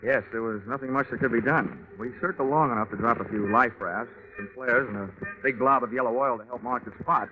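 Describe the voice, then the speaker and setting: A man playing a wisecracking, sleepy insurance investigator in a deadpan 1940s radio detective drama style. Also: mid-range voice, a little fast, phone-quality sound, close to an everyday voice. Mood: casual, dry. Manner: wry, deadpan. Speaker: narrator and lead detective character. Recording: band-limited vintage 1940s radio recording, music.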